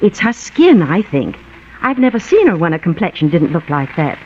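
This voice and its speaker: A woman's voice: fairly low pitch, sing-song, normal speed, a little mellow. An actress playing a friendly, admiring middle-aged mother in a 1940s radio commercial sketch. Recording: muffled vintage radio, faint noise.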